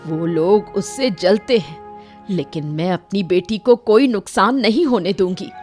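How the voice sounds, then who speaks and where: A woman acts out a village mother from a cartoon moral tale, proud and fiercely protective. She speaks with firm feeling at an ordinary female pitch, over clearly audible music. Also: measured pace, a little mellow, lightly acted.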